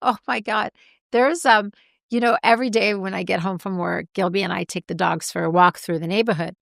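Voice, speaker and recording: A woman co-hosting a casual chat show, congested and clearing her throat, telling animated self-deprecating stories. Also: mid-range voice, fairly quick, dry recording, clean studio sound. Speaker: podcast co-host.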